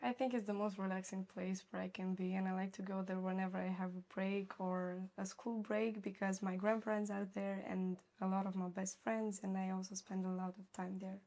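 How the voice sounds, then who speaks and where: A woman's voice: medium pitch, little pitch movement, fairly quick, hardly any pauses, warm tone. A young woman from Romania with a Romanian accent, giving short, plain answers as an exam candidate.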